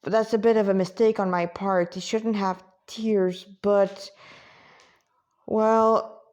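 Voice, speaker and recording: A woman's voice: mid-range voice, fairly even intonation, slow, darkish tone. A woman reading a story aloud as an amateur narrator, informal, with stumbles, self-corrections and casual asides. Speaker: amateur storyteller reading own fan fiction.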